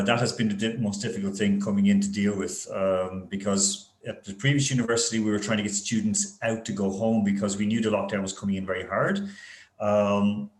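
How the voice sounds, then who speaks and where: Irish man, university panelist, chatty and anecdotal, deep-ish voice, roomy echo on the call. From Ireland. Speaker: university international relations director. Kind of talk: webinar panel talk. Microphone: video call. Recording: echoey room on video call.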